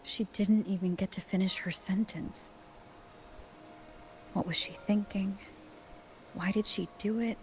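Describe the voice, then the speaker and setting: A woman's voice: medium pitch, steady tone, a little slow, pauses often, a little mellow, close to an everyday voice, low-quality recording. A woman voicing an earnest, composed young heroine in a video game, with a serious, understated delivery. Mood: troubled, quiet.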